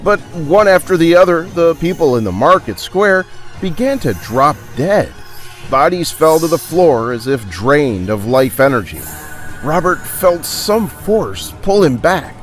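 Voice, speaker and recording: A man tells an adventure story in a lively, theatrical voice that sits a little high. His delivery is deliberate and suspenseful, rising and falling widely. Music plays behind him. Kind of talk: dramatic scripted storybook narration.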